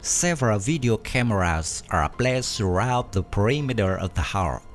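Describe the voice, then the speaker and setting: A man reading a scripted documentary narration at an even, measured pace. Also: fairly low pitch. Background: faint background music.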